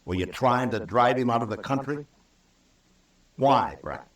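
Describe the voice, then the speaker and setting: A male actor playing a stern, fair-minded American frontier marshal in a 1950s radio western, speaking firmly and deliberately. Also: medium pitch, expressive, natural voice. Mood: stern, insistent. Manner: firm, deliberate, authoritative. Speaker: lead actor and narrator. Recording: old radio recording, roomy and distant.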